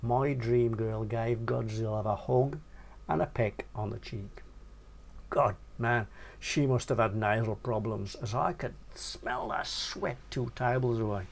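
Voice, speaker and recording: A man's voice: unhurried, gravelly. A man reading his own crude first-person short story in a casual, slangy way. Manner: crude, casual narration with animated swings in pitch.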